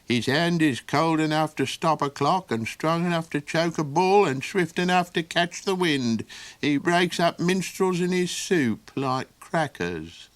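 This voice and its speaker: A gurgling, tipsy tavern drinker gossips with relish in a raised voice, as a man reading a storybook puts him on. He savors his words slowly. The sound is dry. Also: on the high side, expressive, no echo, voice pushed up. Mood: gleeful gossip.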